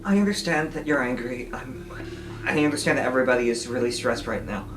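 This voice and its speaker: A woman's voice: very deep voice, expressive, brisk. A woman casually narrating her character's actions as a player in an improvised tabletop game. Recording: distant from microphone, music underneath. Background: music.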